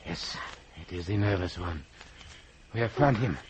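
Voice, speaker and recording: A man's voice: sits low, lively intonation, normal speed. French woodsman with swooping intonation. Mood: grave. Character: earnest French-speaking backwoods guide, calm host. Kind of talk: old-time radio drama acting. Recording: noisy vintage radio recording.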